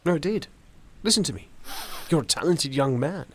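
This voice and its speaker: A kindly middle-aged gentleman in a radio play. His voice is husky and moves expressively, with theatrical, musing pauses that give him a reflective, philosophical air. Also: on the high side, expressive. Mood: earnest, encouraging. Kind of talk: theatrical audio drama acting.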